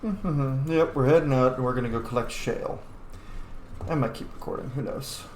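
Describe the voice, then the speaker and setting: A man records casual gameplay commentary in a mid-pitched voice, muttering to himself as he plays. The room is echoey, with faint noise behind him. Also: moderate pace, darkish tone. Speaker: gamer recording a roleplay playthrough. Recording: roomy echo, faint background noise.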